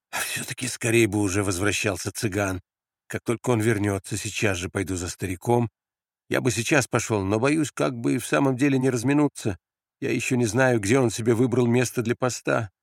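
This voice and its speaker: A man's voice: on the low side, no room sound, clean studio sound. A man voicing a weary, restrained foreign soldier in an audiobook, with an ironic manner. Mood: brooding.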